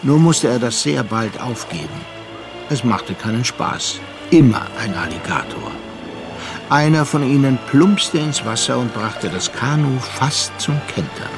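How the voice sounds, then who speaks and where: A man's voice: mid-range voice, lively intonation. Composed male storyteller over music. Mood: reflective. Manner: measured, literary phrasing. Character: composed, reflective male storyteller recalling a friend. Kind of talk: literary narration in a German radio play. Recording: music under the voice.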